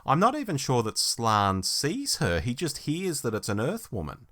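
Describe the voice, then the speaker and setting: A man's voice: medium pitch, huge pitch swings, normal speed, a bit bright. A man co-hosting a podcast in casual review discussion, reflective and inclined to think through questions aloud. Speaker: podcast co-host reviewing audio drama.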